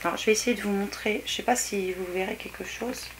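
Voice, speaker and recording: A woman's voice: medium pitch, fairly even intonation, quick pace, slight background noise. Chatty woman showing off her haul. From France. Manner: chatty, hesitant with many fillers, enthusiastic. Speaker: haul vlogger. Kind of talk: casual show-and-tell haul. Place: small indoor bedroom set.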